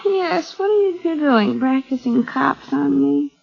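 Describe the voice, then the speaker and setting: An actress playing a groggy, tipsy, confused wife in vintage radio crime drama, dazed and drawling. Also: female voice, sits high, expressive, a little slow, gravelly, full character voice. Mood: alarmed. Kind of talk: 1940s-50s radio crime drama acting. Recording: dull vintage radio recording with music.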